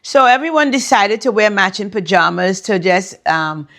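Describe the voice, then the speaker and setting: A woman's voice: medium pitch, moderate pace, a bit bright. A woman in her fifties hosting her own vlog, chatting casually and talking straight to her viewers. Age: 50s. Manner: chatty, addresses viewers directly. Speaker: vlog host.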